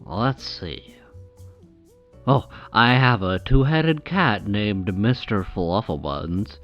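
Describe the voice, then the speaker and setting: Man playing a slow-talking, awkward, creepy superfan. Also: lowish voice, lively intonation, lo-fi sound, faint music behind. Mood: eager, infatuated. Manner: hesitant, stammering, eager, awkward. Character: creepy, adoring, nervous male superfan. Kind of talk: comedic audio drama acting.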